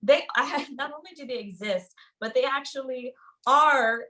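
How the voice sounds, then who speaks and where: A woman's voice: high-pitched, moderate pace, sharp tone. A woman co-hosting a casual literary discussion in an informal, slangy way.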